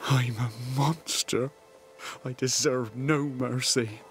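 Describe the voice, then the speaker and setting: A man playing a guilt-ridden, devout settler in a video game drama, with a breathy, halting, anguished delivery. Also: mid-range voice, fairly even intonation, faint music behind. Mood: anguished, guilty. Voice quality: breathy, husky, breaking into whispers.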